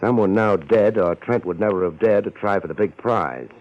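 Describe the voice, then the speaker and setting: A man's voice: on the low side, normal speed, darkish tone, natural voice. A man playing a cocky, hard-boiled private detective with slangy, sardonic delivery in a 1950s radio drama. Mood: assured, decisive. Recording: dull old radio recording.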